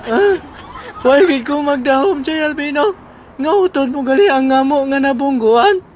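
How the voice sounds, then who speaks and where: A performer playing an excitable, gossipy villager in a comedic audio drama, exclaiming and repeating phrases urgently. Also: sits high, normal speed, a little hiss or hum. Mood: agitated surprise. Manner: exclamatory, repetitive.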